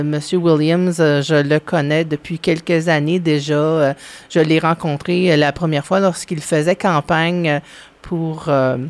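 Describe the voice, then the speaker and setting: A correspondent in radio chat, talking hesitantly with many fillers and restarts. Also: medium pitch. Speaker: regional correspondent.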